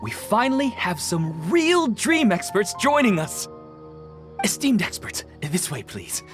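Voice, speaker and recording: A woman's voice: mid-range voice, big pitch swings, a little slow, soft background music. A woman voicing a polite, earnest event organizer in a video game, with courteous, formal phrasing.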